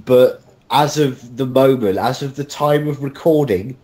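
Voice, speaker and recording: A man chatting casually as a cast member in a group interview, halting and self-deprecating. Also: mid-range voice, mellow. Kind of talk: casual chat.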